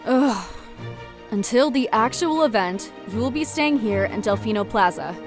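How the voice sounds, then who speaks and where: A woman voicing a reserved, sensible heroine in an animated comedy. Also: fairly high pitch, unhurried, over music. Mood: reluctant reading.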